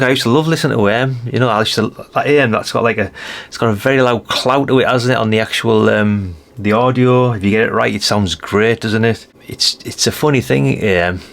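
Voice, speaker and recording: A man's voice: medium pitch, a bit bright. A British man giving a casual hands-on showcase as a video host, chatty and rambling with frequent tag questions. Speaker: host of a radio hobby channel.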